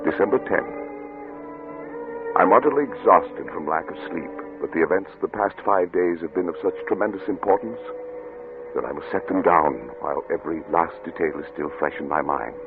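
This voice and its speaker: A man's voice: sits low, brisk, music in the background. An actor playing a driven, imperious scientist father with a curt, commanding manner in an old-time radio drama. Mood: weary, grave. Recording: muffled, noisy old radio recording.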